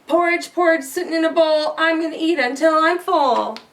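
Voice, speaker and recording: A woman's voice: extremely high pitch, moderate pace, a bit bright, voice pushed up. A woman voicing a mischievous, carefree little girl in singsong rhymes for a storybook, a bit far from the mic. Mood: playful, excited. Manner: chanting, exclaiming in singsong rhymes. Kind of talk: children's storybook read-aloud.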